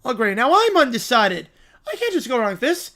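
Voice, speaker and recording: A man voicing a flustered, melodramatic cartoon villain in a shouty, exasperated, theatrical way. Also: extremely high pitch, big pitch swings, brisk, exaggerated voice. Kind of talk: cartoon parody voice.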